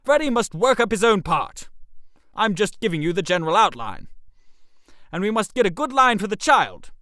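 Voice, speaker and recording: Man playing a brisk, animated, bossy playwright. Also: high voice, dry recording, clean studio sound, heavily acted. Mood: decisive. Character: bossy, brisk male playwright staging a scheme.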